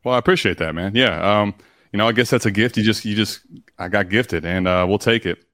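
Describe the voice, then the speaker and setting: A deep, low-voiced male guest with a classic radio sound. He talks quickly and confidently in relaxed chat. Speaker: podcast guest. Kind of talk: casual podcast chat.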